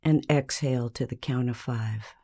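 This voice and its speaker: A woman narrating a scripted guided meditation in a calm, measured, soothing manner with long pauses. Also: extremely low pitch.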